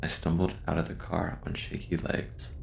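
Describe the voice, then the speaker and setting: A man narrates a horror story in a very low voice thick with vocal fry. He takes his time, keeping the delivery subdued and measured, with little melodic movement. Faint noise sits behind the voice. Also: monotone. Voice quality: deep, gravelly. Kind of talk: scripted first-person horror narration.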